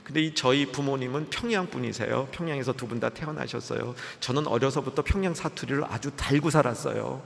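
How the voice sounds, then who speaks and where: A man preaching a sermon as a pastor, lively and dramatic, acting out the voices in the story he tells. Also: mid-range voice, brisk, creaky, hardly any pauses, a little hiss or hum. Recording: long hall reverb.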